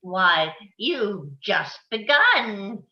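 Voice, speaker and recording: A wicked witch, taunting and menacing. Her words are slow and drawn out, with swooping mockery, caught on a roomy, dull microphone. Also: medium pitch, very animated, pushed and bright, exaggerated voice. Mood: gloating menace. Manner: drawn-out, mocking delivery. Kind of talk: live table read of a film script.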